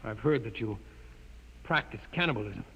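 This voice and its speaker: An actor playing a defiant, scornful rebel from a starship, urgent and blunt, in a 1950s radio drama. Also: male voice, fairly low pitch, lively intonation, moderate pace. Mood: defiant, appalled. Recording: muffled noisy vintage radio, reverberant.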